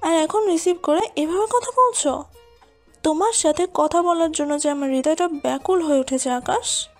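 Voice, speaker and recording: Casting: actress as clingy, lovesick young woman for romantic audio drama; extremely high voice, plaintive pleading, music behind. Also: moderate pace. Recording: voice over a music bed.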